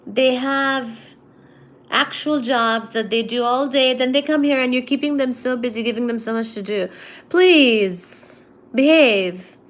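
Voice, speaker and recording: Chatty woman host, slightly high voice. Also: unhurried, a bit bright. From India. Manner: chatty, teasing, reads chat aloud and answers it. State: low-energy today. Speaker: livestream host. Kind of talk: casual live-stream chat with viewers.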